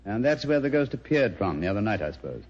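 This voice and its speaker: A wry English detective, animated delivery. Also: male voice, fairly low pitch, fast talker. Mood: urbane. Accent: British. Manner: clipped, urbane, dryly ironic. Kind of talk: 1940s radio drama acting. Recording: muffled, noisy old radio recording.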